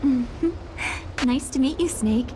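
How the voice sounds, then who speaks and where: An actress playing a sweet, perky young technology specialist in a video game, polite and playful. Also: female voice, high-pitched, takes it slowly, background noise. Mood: playful, flattered. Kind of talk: dramatic video game voice acting.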